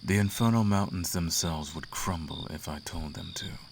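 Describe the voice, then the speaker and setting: A man's voice: low voice, normal speed, a little hiss or hum. A man playing a suave, flirtatious, imperious demon prince in an intimate roleplay monologue, teasing and silky with grand formal phrasing. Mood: imperious, reassuring. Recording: clear outdoor cricket ambience behind.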